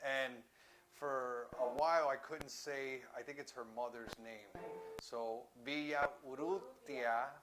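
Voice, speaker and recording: A man's voice: medium pitch, takes it slowly, fairly bright tone. Chatty male priest in a very echoey room. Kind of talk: casual conversational hosting. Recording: very echoey room, music behind.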